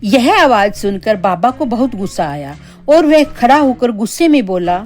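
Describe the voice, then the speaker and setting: A woman reads a scripted children's tale as narrator. Her voice sits fairly high, and music plays underneath the storytelling. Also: sing-song, moderate pace, a little mellow. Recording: background music.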